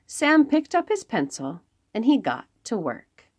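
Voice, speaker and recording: A woman reading aloud to young children as a storytime host, expressive, with dramatic emphasis and pauses. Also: on the high side.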